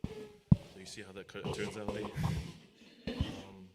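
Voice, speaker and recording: A man from Tonga gives an informal personal testimony to a congregation in a hesitant, casual, halting way. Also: fairly low pitch, monotone, loud music behind the voice. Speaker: church testimony speaker. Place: church, speaking to congregation. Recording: echoey hall, loud background noise.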